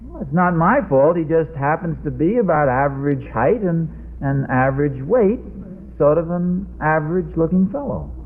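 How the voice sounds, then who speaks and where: A man's voice: a little high, animated, normal speed. A tinny, faintly noisy old radio recording of a befuddled American husband. He is flustered and stubborn, explaining himself earnestly in a voice that swings up and down in classic sitcom style. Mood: defensive, stubborn. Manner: hesitant, flustered, mock-logical explaining. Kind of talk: 1940s-50s radio sitcom acting.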